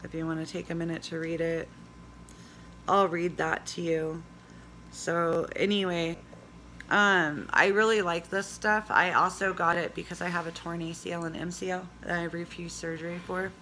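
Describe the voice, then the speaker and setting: Woman, 40s, hoarse, chatty home vlog, phone mic, low-ish voice, bright edge, faint room hum. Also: flat delivery, moderate pace. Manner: chatty, rambling, reads labels aloud. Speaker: vlogger. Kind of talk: casual product review vlog. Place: small indoor room at home. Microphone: phone camera at arm's length.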